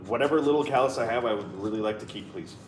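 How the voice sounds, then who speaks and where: A man's voice: fairly low pitch, fast talker, far from the mic. Playful guy in his 30s, live stream chat, echoey room, noisy. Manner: playful, joking, reads viewer comments aloud. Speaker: co-host reading live chat.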